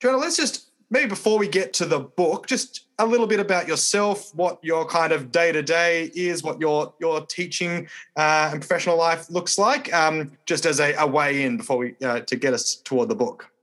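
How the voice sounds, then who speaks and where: Man hosting, slightly high voice. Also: normal speed, hardly any pauses, a bit bright. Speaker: podcast host, church minister. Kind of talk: conversational podcast interviewing.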